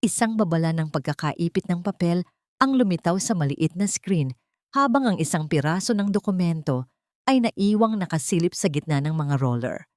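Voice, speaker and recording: Low-voiced Filipino woman narrating a story. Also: expressive, normal speed, darkish tone, no room sound, clean studio sound. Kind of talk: scripted dramatic story narration.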